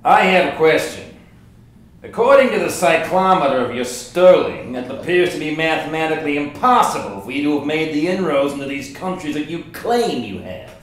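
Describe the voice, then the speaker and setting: A man's voice: on the high side, lively intonation, moderate pace, close to an everyday voice. Man playing a pompous, blustering newspaperman in echoey room. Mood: skeptical, pompous. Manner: pompous, accusatory, wordy. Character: pompous, blustering male rival newspaperman, large and rotund. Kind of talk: screenplay table reading. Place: large echoey room, live table reading.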